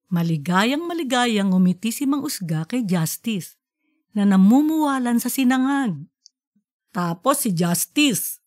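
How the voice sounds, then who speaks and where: A woman's voice: a little high, a little mellow. A woman narrating a scripted comic story in colloquial language, as an audiobook podcast narrator.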